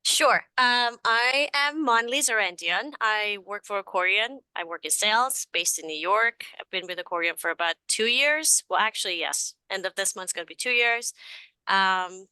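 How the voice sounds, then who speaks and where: A woman's voice: medium pitch, normal speed, sharp tone, smooth, dry recording, poor audio. A woman from Iran introducing herself on a video call, friendly and correcting herself as she goes. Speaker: sales professional.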